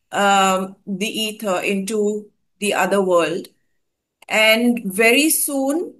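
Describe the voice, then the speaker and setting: A woman's voice: medium pitch, fairly bright tone. A woman from India hosting a conversation, recounting a meditative experience with frequent hesitations and fillers. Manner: hesitant, many fillers and restarts. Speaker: host. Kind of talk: casual spoken account of a spiritual experience.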